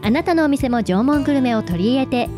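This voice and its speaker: A woman with a high-pitched voice hosts a radio programme. She moves between announcements and chat, with music clearly playing behind her. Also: expressive, quick pace. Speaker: radio host. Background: background music.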